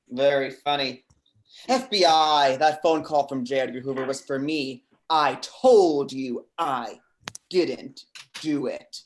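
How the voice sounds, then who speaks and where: A man's voice: mid-range voice, slow, natural voice. A man playing a male undercover federal agent, defiant and smug, in an informal read-through of a film script. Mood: smug, triumphant. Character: meek guest revealed as triumphant undercover federal agent, male.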